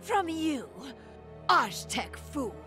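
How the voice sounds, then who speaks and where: An actress playing a proud, vicious young empress in a video game, imperious, sneering and hot-tempered. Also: female voice, sits high, sing-song, drawn-out words, heavily acted. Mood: furious. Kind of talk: video game cutscene acting. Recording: music behind the voice.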